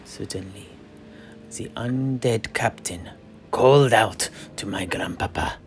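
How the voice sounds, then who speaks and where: A man telling a fantasy tale in character, theatrical and florid, often dropping to a hush at phrase endings. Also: on the low side, slow pace, slight background noise. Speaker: in-character storyteller at a fantasy tavern. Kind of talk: dramatic in-universe fantasy narration.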